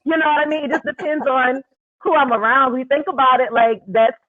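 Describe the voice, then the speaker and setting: A man's voice: very high-pitched, fairly quick. Southern-accented man on a dull video call. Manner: relaxed, conversational. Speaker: pole instructor. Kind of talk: casual panel discussion.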